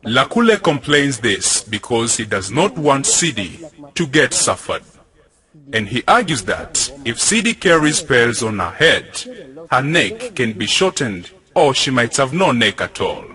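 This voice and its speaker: A man's voice: medium pitch, big pitch swings, a little slow. A man delivering scripted promotional narration as an announcer. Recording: loud music bed behind the voice.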